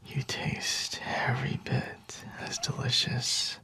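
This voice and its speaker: A man playing a flirty, possessive vampire in an intimate ASMR roleplay, whispering slowly and teasingly in a breathy, husky voice. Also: sharp tone, dry recording. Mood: seductive, soothing.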